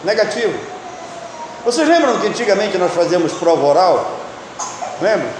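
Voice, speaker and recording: A man's voice: sits high, big pitch swings, normal speed, noisy background. A man preaching a teaching sermon, often asking the listeners questions. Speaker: pastor and Bible teacher. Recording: echoey hall with long reverb.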